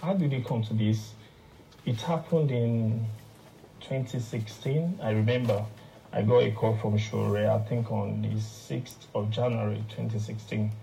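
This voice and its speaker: A man's voice: on the low side, fairly even intonation, a little slow, vocal fry, dark tone, low-quality recording. A man giving a personal account in a recorded audio statement. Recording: played-back audio recording with faint noise.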